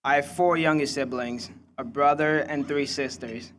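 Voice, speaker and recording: Young Congolese-accented man, higher-pitched, speechmaking. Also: fairly even intonation, normal speed, fairly bright tone, slight background noise. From Kinshasa, Democratic Republic of the Congo. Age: young adult. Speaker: student commencement speaker. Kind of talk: prepared graduation speech.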